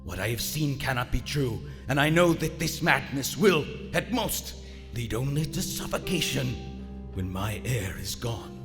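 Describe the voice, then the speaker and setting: A man playing a proud German WWI submarine commander slipping into madness, narrating formally and deliberately in a live radio drama. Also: mid-range voice, lively intonation, lightly acted. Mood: dread, resolve. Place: large theater stage. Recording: live theater stage, long reverb, music underneath.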